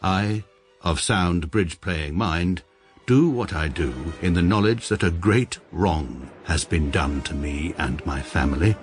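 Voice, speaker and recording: A precise, pompous middle-aged lawyer tells his own story in a dry deadpan. The voice sits very low. Faint music runs under this radio play. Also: a little slow. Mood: wry. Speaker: narrator of a radio comedy. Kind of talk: dry deadpan first-person narration.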